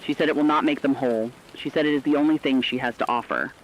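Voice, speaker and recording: A man's voice: mid-range voice, fast talker, close to an everyday voice. A man playing a sober radio correspondent, plainly reading a scripted documentary-style report. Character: sober male radio correspondent relaying survivor testimony. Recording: dull lo-fi radio sound, faint noise.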